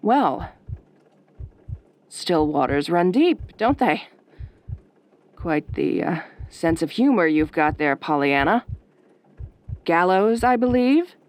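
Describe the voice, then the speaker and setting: Woman playing a low-voiced sardonic late-night DJ. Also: sing-song, slow, long pauses. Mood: wry. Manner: soft-spoken, dry, wry. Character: sardonic, velvety female late-night radio DJ. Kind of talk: ASMR horror roleplay acting.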